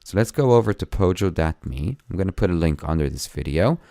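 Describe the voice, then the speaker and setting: Hoarse male tutor, deep voice, dry close sound, plain step-by-step screen tutorial. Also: expressive, warm tone, dry recording. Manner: plain step-by-step explaining, friendly and unhurried. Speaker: web design tutor. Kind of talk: screen-recorded tutorial narration.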